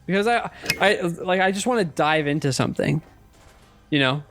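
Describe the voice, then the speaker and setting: A man in his thirties co-hosting a casual podcast chat, speaking haltingly and often repeating words as he works out a thought. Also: fairly high pitch, soft background music. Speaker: podcast co-host. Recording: clicks and steady background noise.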